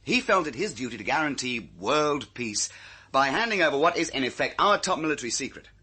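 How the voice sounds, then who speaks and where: Brash, husky radio-thriller agent. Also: male voice, mid-range voice, big pitch swings, fairly quick, bright, forceful tone, heavily acted. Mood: insistent. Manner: clipped, sardonic, old-fashioned British slang. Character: brash, blunt male British security agent, insolently sure of himself. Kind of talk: old-time radio thriller acting.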